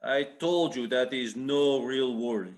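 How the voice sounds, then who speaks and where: Man playing a slow, menacing Russian mobster. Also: mid-range voice, natural voice. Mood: skeptical. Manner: curt, threatening, clipped broken English. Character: menacing, impatient Russian mob boss's enforcer, a man. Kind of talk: staged play reading.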